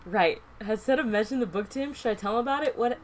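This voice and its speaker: A woman hosting a game let's play, reading narration aloud and reacting in a playful, excitable way. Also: on the high side, fast, a crowd in the background.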